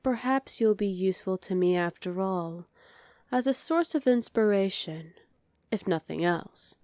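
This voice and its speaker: A woman's voice: medium pitch, lively intonation, a little slow, a little mellow, no room sound, studio quality, natural voice. A woman voicing a dominant, haughty evil queen in an ASMR roleplay, imperious, sly and condescending. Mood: intrigued, scheming.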